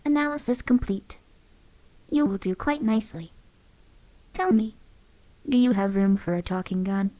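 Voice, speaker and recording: A woman voicing a calm, self-assured female weapon-control chip AI that lives in a synth body, delivering measured game voice lines. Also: medium pitch, no room sound, lightly acted, rough recording. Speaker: voice actress for a companion character. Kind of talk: game character voice lines played back.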